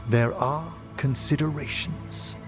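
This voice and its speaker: An actor playing a refined older necromancer scholar in a video game, gentle and wistful, with formal, eloquent, measured phrasing. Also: male voice, fairly high pitch, music in the background. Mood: wistful, thoughtful. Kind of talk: video game dialogue acting.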